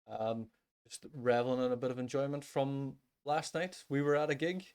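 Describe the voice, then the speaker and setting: A man co-hosting a podcast in casual chat, speaking in a hesitant, halting way. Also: mid-range voice, fairly even intonation, darkish tone, smooth. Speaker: podcast co-host.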